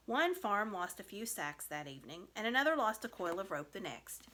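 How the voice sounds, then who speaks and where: Female storybook narrator, lively intonation, bright clear-cut tone, expressive scripted reading. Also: medium pitch. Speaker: storybook reader.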